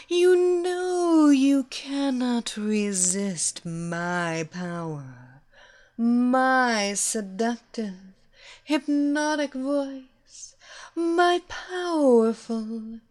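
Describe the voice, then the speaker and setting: A woman voicing a seductive, domineering female villain who hypnotizes her captive, speaking in slow, sultry, repetitive phrases with breathy whispers. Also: fairly high pitch, a little mellow. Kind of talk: erotic hypnosis audio story.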